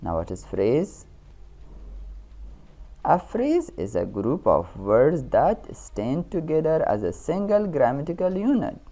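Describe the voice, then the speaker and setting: Female teacher, Pakistani accent, low voice with hugely varied melody, reading a lesson deliberately and instructively. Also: sing-song, mellow. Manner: instructional, deliberate, repeats key terms. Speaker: English grammar teacher. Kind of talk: scripted lesson narration with examples.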